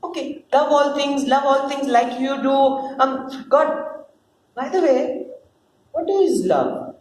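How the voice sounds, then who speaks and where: Woman as high-pitched, childlike, eager man, echoey room. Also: takes it slowly, darkish tone. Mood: puzzled. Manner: hesitant, questioning, eager to please. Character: naive, eager, childlike newly created man. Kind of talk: dramatic play reading. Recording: roomy, distant mic, faint noise.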